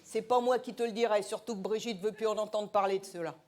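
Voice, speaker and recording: A man's voice: high-pitched, steady tone, quick pace, lightly acted. A man voicing a brash older woman, a tough-talking tabloid paparazzi boss who mumbles around a cigarette, wisecracking and menacing in old gangster-film slang in a comic mock interview. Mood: sly, threatening.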